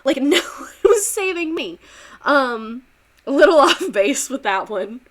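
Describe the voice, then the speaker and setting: A woman's voice: high voice, big pitch swings, measured pace. A young woman teacher with a Southern US accent, giving a rambling, chatty history lesson on a livestream, full of fillers and laughs.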